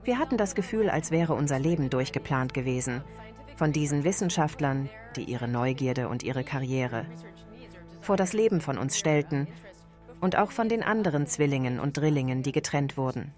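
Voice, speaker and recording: A woman's voice: rumbling low, animated, normal speed, darkish tone, soft background music. A woman speaking as a guest in a television studio interview, reflective and earnest. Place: TV studio set.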